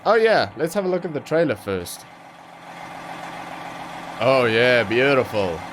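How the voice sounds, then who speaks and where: A young man with a mid-range voice, an Afrikaans speaker, chatting loosely as he drives in a trucking game. He rambles, fills gaps with ums and circles back to his point. A steady drone of truck engine and road noise sits clearly under his voice. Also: mid-range voice, a little slow. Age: young adult. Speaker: gaming channel host. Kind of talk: casual gameplay commentary.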